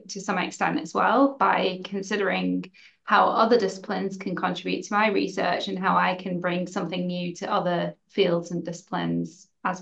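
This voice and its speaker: A woman with an English accent, a senior lecturer answering interview questions in an earnest, measured way. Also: mid-range voice, gravelly, a bit bright, muffled recording. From England.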